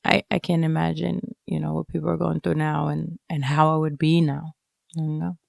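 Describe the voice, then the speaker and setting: A woman giving a reflective personal interview, speaking haltingly and often dropping in "like" and "you know.". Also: low-pitched, flat delivery, vocal fry, a little mellow, dry recording, clean studio sound. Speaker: interviewed writer.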